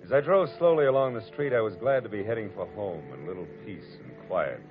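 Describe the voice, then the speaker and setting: Wry detective narrator, very low voice, man acting, 1940s radio play, dull old broadcast. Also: animated, quick pace, music in the background, natural voice. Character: hard-boiled male private detective narrator.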